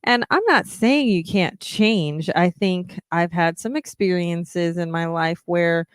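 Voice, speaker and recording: Podcast host, female, slow encouraging delivery, smooth voice, dry close mic. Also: on the low side, no room sound. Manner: earnest, encouraging, many ums. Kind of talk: reflective solo podcast talk.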